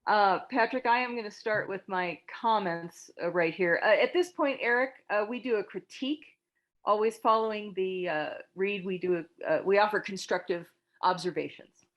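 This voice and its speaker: A woman's voice: mid-range voice, lo-fi sound. Encouraging woman hosting a script critique. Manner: halting, encouraging, tactful. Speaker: table read host giving critique. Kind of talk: informal spoken feedback to a group.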